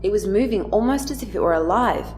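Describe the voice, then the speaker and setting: A woman's voice: medium pitch, expressive, fast, darkish tone, slight background noise. A woman reading scripted story narration for an audio drama. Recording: music under the voice.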